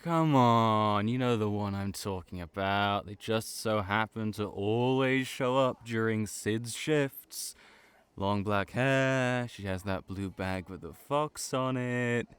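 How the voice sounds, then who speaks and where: A man's voice: fairly low pitch, takes it slowly, a bit bright, smooth. A man playing a teasing young ram hybrid who works in a cafe, with playful, needling banter in an audio roleplay.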